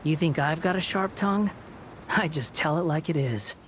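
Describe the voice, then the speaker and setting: A woman playing a blunt, sharp-tongued woman in a video game, with a dry, unapologetic delivery. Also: deep voice, moderate pace, slight background noise, lightly acted. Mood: dismissive. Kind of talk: English video game voice acting. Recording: loud game music behind voice.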